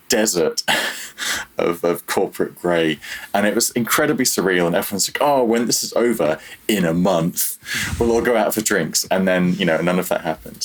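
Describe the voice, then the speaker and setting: A man's voice: low-pitched, fairly bright tone. A man talking casually as an interview guest, reflective and self-deprecating about himself. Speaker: podcast guest, freelance audio programmer.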